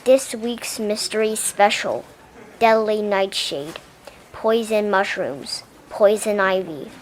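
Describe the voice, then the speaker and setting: A woman reading a children's picture book aloud as an expressive narrator. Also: medium pitch, slow, a little hiss or hum. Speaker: storybook reader.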